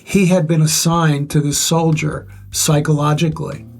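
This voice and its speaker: An older man with a relatively loud voice, reflectively sharing life stories and advice in measured, pause-filled speech. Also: fairly high pitch, little pitch movement, creaky, soft background music. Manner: measured, pausing often with "uh". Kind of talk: reflective conversational storytelling.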